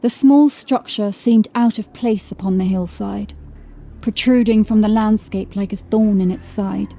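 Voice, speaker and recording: A woman narrating scripted horror fiction as a dramatic storyteller, with a mellow voice. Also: mid-range voice, steady tone, measured pace.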